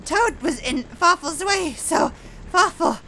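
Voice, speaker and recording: Villain's sidekick, shrill, sharp, wheezy, frantic, fractured grammar, storybook character. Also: male voice, animated, slow, slight background noise, exaggerated voice. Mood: panicked. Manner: broken grammar, speaks of himself in third person.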